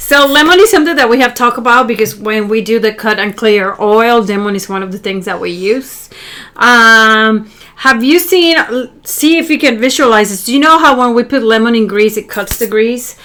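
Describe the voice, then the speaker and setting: A woman hosts a casual how-to video and speaks warmly and directly to the people watching. Her voice sits a bit high for a woman, and she explains ideas in plain, simple terms. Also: normal speed. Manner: warm, encouraging, talks directly to viewers. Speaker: channel host. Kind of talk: casual how-to vlog chat.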